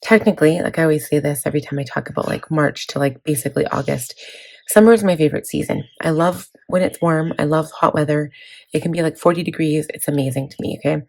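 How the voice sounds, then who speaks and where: A woman in her thirties, hoarse from laryngitis, chatting casually about her planner with many fillers. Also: deep voice, quick pace, darkish tone, low-quality recording. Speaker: planning vlogger. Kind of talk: casual chat walking through a planner.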